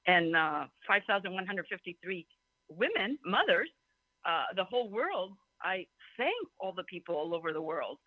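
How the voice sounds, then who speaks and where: A woman's voice: on the low side, no echo. Woman from Greece, talkative and emphatic event speaker, digressive personal testimony, narrow telephone-like audio. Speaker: symposium speaker. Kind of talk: informal personal testimony at a symposium. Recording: thin phone-like call audio.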